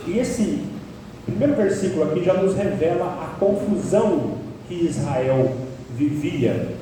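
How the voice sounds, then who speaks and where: A man's voice: fairly high pitch, expressive, measured pace, recorded from a distance, slight background noise. Man preaching in an echoey church hall. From Paraná, Brazil. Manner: emphatic, builds to sharp peaks on key words. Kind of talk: expository sermon. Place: church sanctuary.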